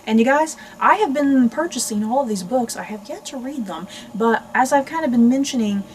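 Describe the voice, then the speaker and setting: A woman's voice: a little high, a little fast, a little hiss or hum, poor audio. An American woman hosting a book channel, chatting casually and expressively to viewers as she reads synopses aloud. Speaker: book review channel host.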